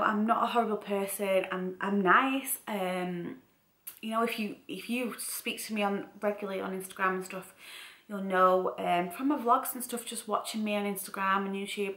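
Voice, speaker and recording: Bright-voiced young Mancunian woman, echoey room. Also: medium pitch. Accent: Mancunian with some Scouse. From Manchester, England. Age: young adult. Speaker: vlogger. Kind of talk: casual chatty vlog. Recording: roomy, echoey home room.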